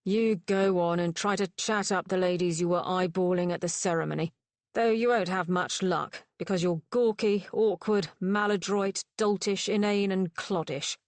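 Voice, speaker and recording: A woman voicing a sardonic, jaded gentleman rakehell who talks in a slow, cutting, sarcastic drawl. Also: lowish voice, fairly even intonation, no echo, close to an everyday voice. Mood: sneering.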